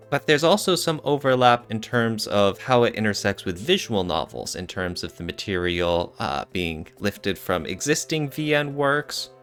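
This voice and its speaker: Guy with a lowish lively voice chatting on a podcast, kinda working it out as he goes, music behind. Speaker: podcast co-host. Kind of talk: casual explanatory podcast discussion.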